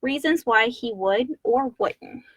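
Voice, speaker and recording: A woman's voice: fairly high pitch, animated, slow pace, a bit bright, breathy edge, dry recording. A woman co-host reading questions aloud and chatting casually about them.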